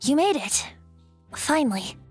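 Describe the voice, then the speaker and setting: A woman's voice: sits high, very animated, measured pace, loud music behind the voice. A woman playing a playful, youthful male bard with an ancient spirit, telling tales in a breezy, theatrical way for a video game. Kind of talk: English video game voice acting.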